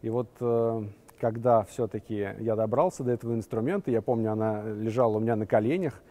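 A young adult man, a guitarist and festival organizer, telling his story in a measured, fluent interview. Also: on the low side, fairly even intonation, dark tone. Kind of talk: interview recollections. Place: large empty concert hall, indoors.

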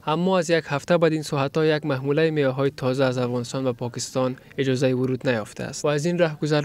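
A man reads a scripted news report in an even, formal delivery. His voice sits at a middle pitch.

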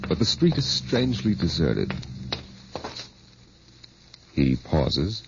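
A man's voice: deep voice, wildly swooping pitch, takes it slowly, vocal fry, full character voice. A man narrating a vintage radio science fiction drama in a solemn, suspenseful manner. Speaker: announcer and narrator. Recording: noisy, dull old radio recording.

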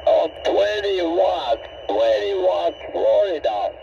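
A man's voice: high-pitched, sing-song, takes it slowly, creaky. An elderly man with a Finnish accent chatting as an amateur operator over a shortwave radio link. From Finland. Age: 70s or older. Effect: shortwave radio transmission. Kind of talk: ham radio contact chat. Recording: noisy muffled shortwave radio signal. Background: radio static.

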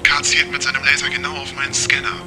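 A man's voice: mid-range voice, monotone, fast, lightly acted. Man acting precise, formal talking car computer. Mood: alert, composed. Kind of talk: German audio drama acting. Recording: loud music under the voice.

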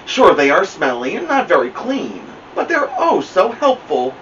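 A middle-aged man reading a children's picture book aloud as a storytime host, with dramatic, deliberate delivery. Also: high-pitched, wildly swooping pitch, fairly bright tone. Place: indoor room at home, seated by a plant. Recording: muffled, noisy, distant camera mic.

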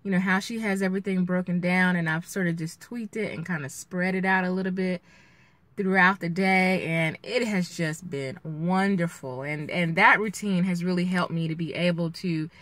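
A woman vlogger chatting casually and reflectively in an encouraging way, with halting pauses as she thinks. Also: fairly low pitch, fairly even intonation, fairly bright tone, dry recording. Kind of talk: casual chat, motivational vlog. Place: inside a parked car, daytime. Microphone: camera or phone at arm's length.

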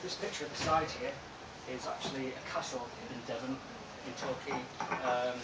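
Soft-spoken young man lecturing, echoey room. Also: mid-range voice, moderate pace. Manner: relaxed, chatty, frequent ums. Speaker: lecturer giving a talk. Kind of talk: informal lecture. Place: lecture room with projector, indoors. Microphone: far room camera. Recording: echoey room from far off with loud hiss.

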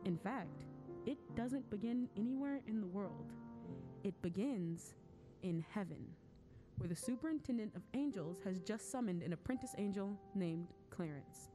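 A woman's voice: mid-range voice, expressive, normal speed, lightly acted. A woman reading scripted announcer narration for an old-fashioned radio play. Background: loud music.

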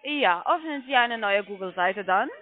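A woman's voice: medium pitch, animated, normal speed, dry recording. A woman with a foreign accent, a non-native speaker, working as a call center agent and arguing insistently, repeating herself over a phone line. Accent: foreign accent in German. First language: not German. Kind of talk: unscripted phone argument. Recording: tinny, narrow phone line.

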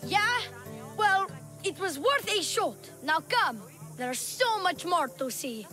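High-voiced cheeky young boy hustler. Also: very animated, takes it slowly, pushed and bright, soft background music, full character voice. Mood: breezy. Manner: glib salesman patter, feigned innocence. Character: cheeky young boy tour guide, sly con artist. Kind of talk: video game character acting.

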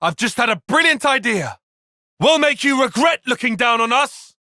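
Young male game tactician, proud, precise, intense, forceful bright tone, swooping pitch, dry studio sound. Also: animated, moderate pace, no echo, full character voice. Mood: confident. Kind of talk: video game battle voice lines.

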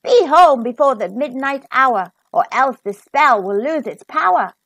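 A woman's voice: sits high, lively intonation, measured pace, fairly bright tone, slightly rough, no room sound, full character voice. A woman voicing a kindly, commanding fairy godmother from a storybook, speaking emphatically and encouragingly.

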